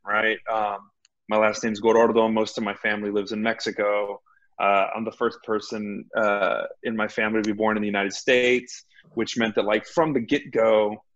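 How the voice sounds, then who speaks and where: A man in his thirties from Texas, a guest on a video call from home, telling his story in a relaxed, self-deprecating way. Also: on the low side, lo-fi sound. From Laredo, Texas. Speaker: guest, addiction counselor. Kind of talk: personal recovery story. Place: home room.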